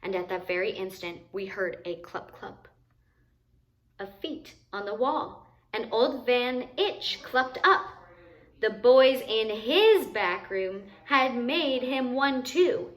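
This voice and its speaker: A woman reading a picture book aloud to children in an expressive, deliberate way, adding simple asides. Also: a little high, reverberant, poor audio. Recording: noticeable background noise.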